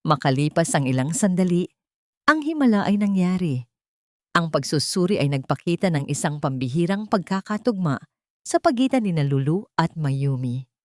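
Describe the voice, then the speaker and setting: A woman's voice: lowish voice, big pitch swings, normal speed, darkish tone, no room sound, studio quality. A woman narrating a dramatic story from a script as an audiobook reader.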